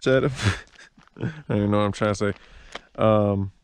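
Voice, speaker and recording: A man in his thirties casually narrating a how-to at a wooded campsite, chatty and relaxed, talking to viewers as guys. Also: lowish voice, normal speed, very noisy. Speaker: fishing and camping vlogger. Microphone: wearable action camera.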